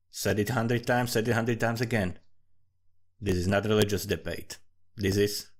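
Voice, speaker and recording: A man with a Central European accent giving a casual, rambling, sweary monologue that drifts from one thought to another. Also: medium pitch. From Central Europe, small country. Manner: informal, sweary, digressive. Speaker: amateur fantasy writer recording commentary.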